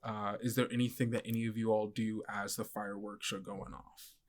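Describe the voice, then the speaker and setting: A man improvising descriptive narration as game master of a tabletop roleplaying game, in a halting way. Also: on the low side, little pitch movement.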